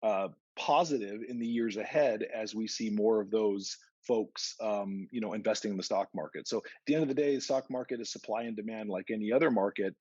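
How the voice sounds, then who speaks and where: A husky-voiced man speaks as an investment analyst on a conference call, laying out market commentary methodically and conversationally. His voice sits a little low. The audio is dull and lo-fi. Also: fairly quick, hardly any pauses, a little mellow, dry recording. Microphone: conference call line.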